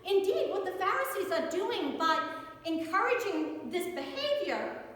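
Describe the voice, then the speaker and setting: American lady preacher, bright voice, really animated wry storytelling, big echoey church sound. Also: very high voice, unhurried. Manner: animated, wry storyteller who acts out dialogue. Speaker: pastor. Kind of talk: storytelling sermon. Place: inside a church. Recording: echoey church hall with long reverb.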